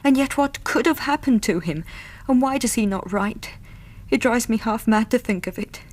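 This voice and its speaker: A woman's voice: fairly high pitch, moderate pace, close to an everyday voice. An actress playing a devoted, anxious young Victorian lady with an English accent, earnest and polite in a radio drama. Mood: distressed pleading. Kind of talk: period radio drama acting.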